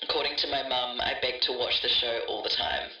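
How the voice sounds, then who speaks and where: Aussie woman, 40s, very bright but fryy voice, roomy muffled recording far from the mic. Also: very deep voice, brisk. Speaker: podcast contributor, computer programmer. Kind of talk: reminiscing in a voice note. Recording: muffled, echoey, distant voice note.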